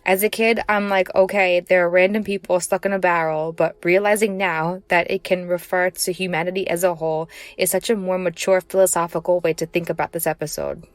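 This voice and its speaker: A woman's voice: on the low side, fairly even intonation, fairly quick, few pauses, no echo. A woman giving a prepared personal commentary as a listener contributing to a podcast.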